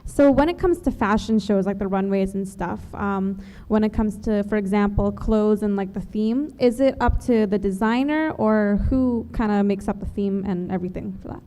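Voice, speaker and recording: A woman's voice: medium pitch, moderate pace, hardly any pauses, mellow. A young woman in her teens hosting a show with casual, chatty, bubbly interviewing. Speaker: show host.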